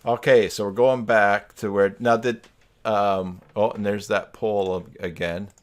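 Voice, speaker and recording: A man's voice: fairly low pitch, fairly even intonation, a little slow. A man hosting a casual live stream over a video call, chatting in a rambling, jokey way.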